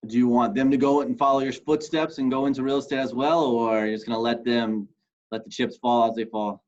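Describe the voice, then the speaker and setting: A man's voice: mid-range voice, steady tone, a little fast, darkish tone, dull audio. A man hosting an interview for a university class, asking friendly, informal questions that often start and stop.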